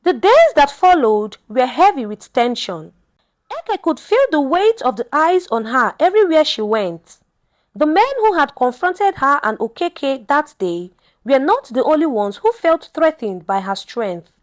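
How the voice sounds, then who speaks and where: A woman narrating a scripted folktale in a dramatic, measured storytelling manner. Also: high voice, big pitch swings.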